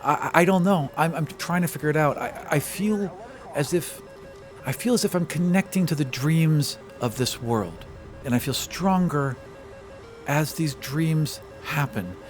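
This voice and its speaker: A man's voice: fairly high pitch, lively intonation, measured pace, faint music behind. A man voicing a hesitant, earnest young woman in a tabletop game, rambling and repeating words. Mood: unsure, frustrated.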